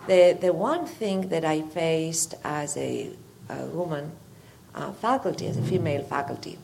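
A woman with a Greek accent and a slightly low voice speaks off the cuff as an academic in a public discussion. She phrases things carefully and hesitantly, over some background noise. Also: measured pace, vocal fry, a little mellow. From Greece. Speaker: university faculty member. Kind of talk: spontaneous comment in a public discussion.

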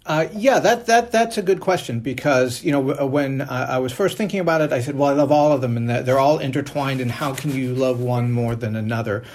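Man, creaky mid-pitched voice, measured panel speaker, earnest, hesitant phrasing. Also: darkish tone. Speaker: university researcher and panelist. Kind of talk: thoughtful panel discussion answer.

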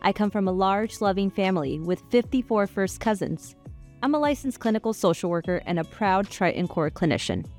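A woman from Northern California, a clinical social worker, giving a warm, sincere scripted introduction. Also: mid-range voice, animated, brisk, faint music behind.

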